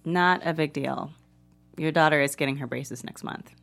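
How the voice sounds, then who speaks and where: A woman's voice: low voice, moderate pace, creaky, fairly bright tone, faint music behind. A woman playing a no-nonsense, skeptical ex-wife and mother of thirty-one in a plain-spoken comedy table read.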